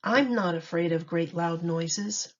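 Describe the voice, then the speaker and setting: A woman's voice: fairly low pitch, slow. A woman voicing a timid, polite young boy turtle in a children's storybook, speaking earnestly. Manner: polite, pleading. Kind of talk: children's storybook read-aloud. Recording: dull lo-fi recording.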